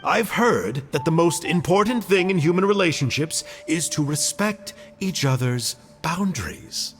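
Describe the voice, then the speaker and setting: A man voicing a polite, smooth-talking negotiator in a video game, courteous and wordy. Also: fairly high pitch, animated, a little slow. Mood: courteous, persuasive. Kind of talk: video game character voice acting. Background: music.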